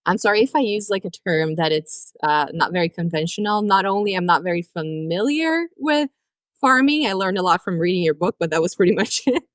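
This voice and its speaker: A Brazilian-accented woman hosts a podcast chat, her voice on the low side for a woman. She speaks polite and chatty, with frequent laughs. The sound is dry and close, with no room echo. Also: animated, a little fast. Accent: Brazilian Portuguese. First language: Portuguese. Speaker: podcast host. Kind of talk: friendly podcast interview.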